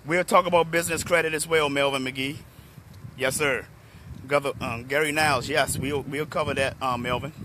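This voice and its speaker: A middle-aged man from Washington, D.C., a motivational speaker, talking hoarsely and chattily to viewers on a phone held at arm's length outdoors. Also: medium pitch, moderate pace, a little hiss or hum. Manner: relaxed, chatty, addresses viewers by name. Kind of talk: live-streamed talk to viewers. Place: outdoors in a parking lot under trees.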